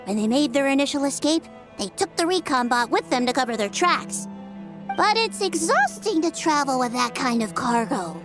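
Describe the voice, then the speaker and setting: High-pitched, earnest girl detective. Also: lively intonation, moderate pace, music in the background. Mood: confident. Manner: precise, deductive, earnest. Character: bright, analytical young female detective, non-human, earnest. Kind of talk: video game voice acting.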